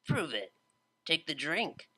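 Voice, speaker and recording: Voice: medium pitch, very animated, slow, sharp tone, husky, full character voice. A reader voicing a brash, casual young female unicorn DJ who talks in slang and teases bluntly. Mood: teasing. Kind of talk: fan fiction reading, character voice.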